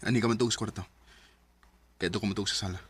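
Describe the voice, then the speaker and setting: A man's voice: fairly low pitch, fairly even intonation, very fast, fairly bright tone, a little hiss or hum, close to an everyday voice. An actor with a Filipino Bisaya accent playing a cold, dismissive young man in a curt radio drama style. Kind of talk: emotional radio drama acting.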